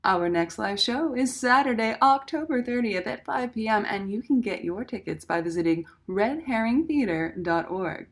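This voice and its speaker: A woman's voice: fairly high pitch, lively intonation, normal speed, hardly any pauses. A woman reading upbeat plugs and an introduction for a radio comedy show. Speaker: show announcer.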